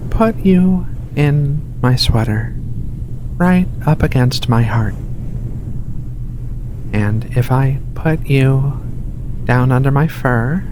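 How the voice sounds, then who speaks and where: A performer voicing a gentle giant furry alien researcher in a soft, hushed, soothing ASMR roleplay. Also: natural voice. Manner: soothing, unhurried, reassuring, coaxing. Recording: steady rumbling ship ambience behind voice. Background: low engine rumble of a spaceship.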